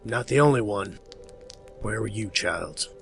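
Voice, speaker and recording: Man acting a low-voiced, grim Arctic survivor. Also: animated, a little slow, vocal fry, soft background music. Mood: wary. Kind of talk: dramatized read-along record acting.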